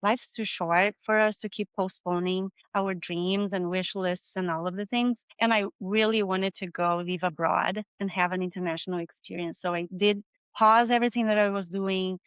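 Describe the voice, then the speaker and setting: Brazilian-accented woman, smooth and clear. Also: medium pitch, steady tone, normal speed, a bit bright, no echo, muffled recording. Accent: Brazilian Portuguese. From southern Brazil. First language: Portuguese. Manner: friendly, open and reflective, small non-native slips. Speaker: interview guest, marketing manager. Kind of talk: video interview conversation.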